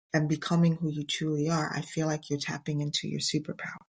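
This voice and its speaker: A middle-aged woman hosting a motivational podcast on her own, speaking earnestly and emphatically in short, deliberate phrases. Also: sits low, creaky. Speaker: podcast host. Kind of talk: motivational solo podcast talk.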